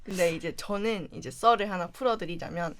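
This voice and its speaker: A woman's voice: on the low side, a little fast, fairly bright tone, slight background noise. A young woman from Busan with a Busan dialect accent, chatting casually as a radio DJ and filling her talk with small filler words. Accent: Busan Gyeongsang dialect. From Busan, South Korea.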